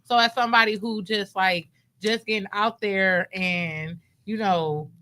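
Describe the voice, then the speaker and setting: A woman's voice: mid-range voice, steady tone, unhurried, bright, forceful tone. A woman in her thirties hosting a podcast, chatting casually and animatedly, laughing often and poking fun at herself. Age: 30s. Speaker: podcast host.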